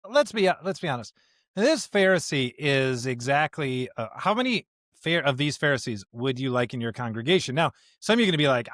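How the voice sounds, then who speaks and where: A man co-hosting a podcast in a conversational discussion of scripture, speaking emphatically in halting bursts and often checking in with a rhetorical question. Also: on the high side, fairly bright tone, no echo, clean studio sound. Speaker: podcast co-host.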